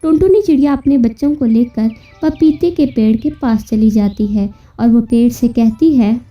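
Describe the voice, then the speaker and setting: A woman's voice: a little high, normal speed. A woman narrating a children's cartoon story as a scripted storyteller. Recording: muffled, distant-sounding, music behind.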